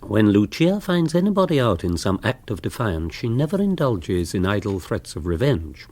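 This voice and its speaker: A man's voice: on the low side, sing-song, normal speed, darkish tone, no echo. A man with an English accent narrating a dramatised comic novel in a wry storytelling style.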